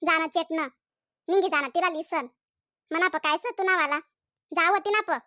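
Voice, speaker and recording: Lady doing a super high squeaky cartoon village girlfriend, khandeshi accent, jealous and always telling someone off, dry sound. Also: moderate pace, pushed and bright, no echo. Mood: indignant. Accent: Khandeshi (Ahirani). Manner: scolding, nagging, accusatory. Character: scolding, jealous young village woman in a comedy cartoon. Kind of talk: comedy cartoon dialogue in dialect.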